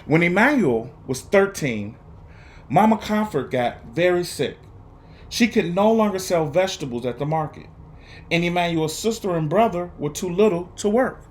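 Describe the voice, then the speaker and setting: A man reading a children's picture book aloud, phrasing it dramatically and deliberately with emphatic pauses. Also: high-pitched, lively intonation. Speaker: storybook reader.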